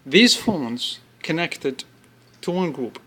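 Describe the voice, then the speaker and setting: A man presenting an informal product demonstration, explaining step by step in halting phrases and repeating himself. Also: a little high, animated, sharp tone, slightly rough. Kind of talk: informal spoken product demo.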